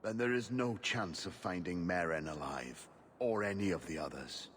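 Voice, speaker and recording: An actor playing a sombre, resigned investigator with a measured, formal delivery in a video game. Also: male voice, low-pitched, fairly bright tone, long reverb tail, slight background noise.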